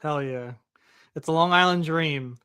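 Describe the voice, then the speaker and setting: A man co-hosting a casual podcast, his voice bright and ringing and pitched a little high. He talks eagerly and conversationally, with plenty of fillers, into a close mic in a dry room. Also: clear, resonant tone, no room sound. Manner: excited, quick, conversational with filler. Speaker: podcast co-host. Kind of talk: casual wrestling podcast chat.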